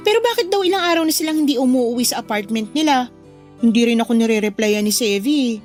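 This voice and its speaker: An actress playing a sweet, clingy, stubborn young daughter in an emotional Tagalog radio drama. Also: female voice, on the high side, natural voice.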